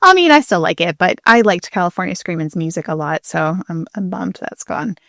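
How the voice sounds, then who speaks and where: A woman's voice: lowish voice, big pitch swings, brisk, vocal fry, fairly bright tone, dry recording, clean studio sound. A woman co-hosting a podcast in casual conversation, talking with a fan's enthusiasm and plenty of detail. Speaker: podcast co-host. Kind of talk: casual chat.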